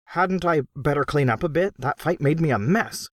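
A man's voice: a little high, lively intonation, moderate pace, fairly bright tone, no echo, clean studio sound, pitched above the performer's own voice. A man voicing a bewildered, hesitant human man newly turned into a gnome. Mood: nervous bewildered. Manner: nervous, hesitant.

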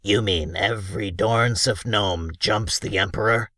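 A man voicing a rough, fight-loving male gnome in a gruff, gravelly voice. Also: on the low side, a little slow, bright, forceful tone, dry recording, studio quality, heavily acted. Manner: gruff, blunt. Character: rough brawling male gnome, cheerfully violent.

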